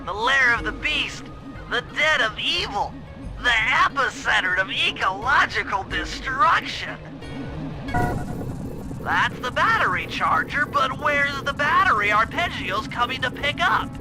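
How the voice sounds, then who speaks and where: A man's voice: very high-pitched, lively intonation, unhurried, music in the background, poor audio. A man voicing a brainy, nerdy mission planner in a video game, with precise, wordy, formal phrasing. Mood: explanatory, keen.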